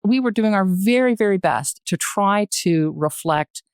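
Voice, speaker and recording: A woman's voice: mid-range voice, lively intonation, unhurried, smooth, no echo, clean studio sound. An older woman speaks as a podcast guest in a reflective, emphatic conversational way, often checking in with "right?". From Canada. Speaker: podcast guest, retired journalist. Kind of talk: conversational interview.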